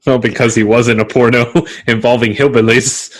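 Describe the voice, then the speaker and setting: A man's voice: medium pitch, flat delivery, brisk. A man co-hosting a podcast, talking casually with blunt, crude opinions. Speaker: podcast co-host. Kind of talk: casual chat.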